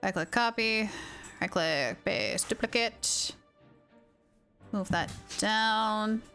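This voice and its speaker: A woman live streamer giving casual, playful game commentary in a chatty, jokey, excitable way. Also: mid-range voice, slow. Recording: game music behind.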